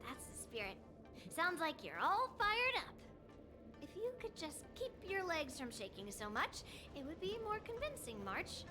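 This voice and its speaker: A woman's voice: extremely high pitch, very animated, a little slow, music in the background, heavily acted. An actress playing a confident young female sword master in an anime-style video game, self-assured, teasing and slightly bossy as a mentor.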